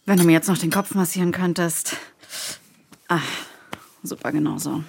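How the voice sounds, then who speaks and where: Hungover cop, creaky and lowish, groany, lazy, brushes people off, radio play. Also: female voice, fairly even intonation, normal speed, a little mellow, slight background noise. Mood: weary, irritable. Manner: groaning, languid, dismissive. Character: hungover, lazy, grumpy female police detective. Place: radio drama studio.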